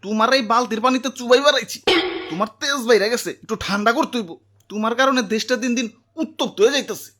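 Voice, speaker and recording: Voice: mid-range voice, fast, fairly bright tone, natural voice. A performer voicing a feisty, overheated cartoon complainer in colloquial, exclamatory speech with a Bangladeshi accent. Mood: scolding. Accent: Bangladeshi, colloquial dialect. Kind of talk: comic animated cartoon dialogue.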